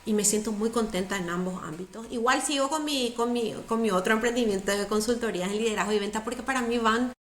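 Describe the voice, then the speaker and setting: A woman's voice: on the high side, quick pace, few pauses, echoey room. A middle-aged woman, speaking as a guest in a conversational interview, earnest and emphatic, repeating words for stress. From Paraguay. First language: Spanish.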